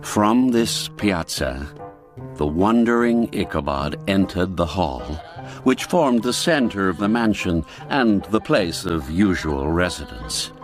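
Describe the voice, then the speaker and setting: Slow, richly animated male storyteller. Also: low voice. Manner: measured, expressive, theatrical delivery. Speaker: narrator. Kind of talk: dramatized literary storytelling for radio.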